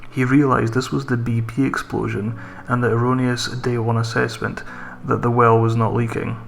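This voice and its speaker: A man's voice: mid-range voice, flat delivery, moderate pace, creaky, a little hiss or hum. A man reading a scripted horror story as a narrator, with music behind him. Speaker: creepypasta narrator.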